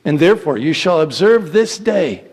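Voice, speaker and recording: A man giving a solemn, declamatory dramatic reading of scripture in deliberate phrases. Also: on the high side, sing-song, darkish tone, husky. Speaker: church reader reciting scripture. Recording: echoey hall with long reverb.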